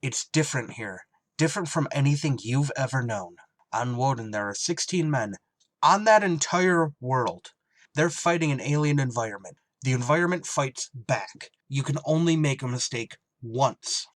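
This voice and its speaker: A man playing a blunt, solemn spaceship pilot who also narrates a radio drama, deliberate and terse, with buried feeling. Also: mid-range voice, animated, dry recording, close to an everyday voice. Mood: stern, urgent. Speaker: actor and first-person narrator.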